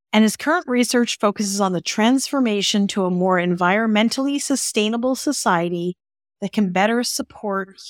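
A woman's voice: mid-range voice, no echo, clean studio sound. A woman hosting a podcast, interviewing her guest in a friendly, upbeat way. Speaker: podcast host.

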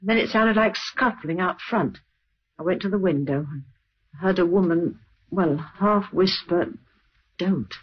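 Subdued, certain neighbour witness, high husky voice, halting delivery, vintage radio. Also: male voice. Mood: earnest, subdued. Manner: halting, emphatic, respectful. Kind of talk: old-time radio acting. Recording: muffled old radio recording.

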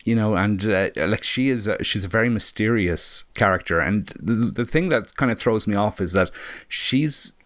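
Low croaky guy rambling wryly on a film review chat, really dry sound. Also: normal speed, a bit bright, dry recording. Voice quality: slightly croaky. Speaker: film discussion panelist.

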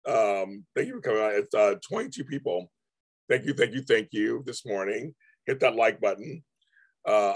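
A man hosting a casual live stream, his voice going hoarse, rambling with many restarts and repeated words. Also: mid-range voice. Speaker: livestream host.

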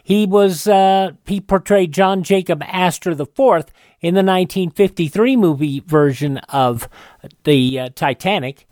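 A man's voice: high voice, a little slow, a little mellow, no room sound. A man hosting a classic radio programme, presenting background notes informally at a close studio microphone. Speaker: radio show host.

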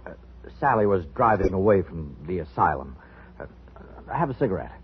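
Deep husky steady man. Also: normal speed, heavily acted. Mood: calm, firm. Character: steady, controlled man calming a woman. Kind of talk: 1950s radio drama acting. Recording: thin, narrow old radio recording.

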